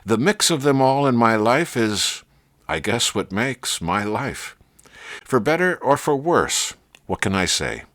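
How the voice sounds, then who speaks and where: A man reading his own humorous, reflective essay as scripted narration, with a wry, measured storytelling manner. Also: medium pitch, very animated, a bit bright. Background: music.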